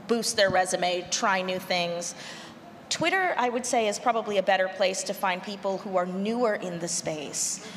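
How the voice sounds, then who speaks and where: A woman panelist giving encouraging, practical advice in unscripted speech. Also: fairly low pitch. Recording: echoey hall, background noise.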